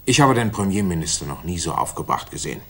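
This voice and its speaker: Casting: self-important, displeased Victorian official, formal speech, husky voice, animated intonation. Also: male voice, sits low, quick pace, exaggerated voice. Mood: formal, annoyed. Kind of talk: 1960s radio drama acting.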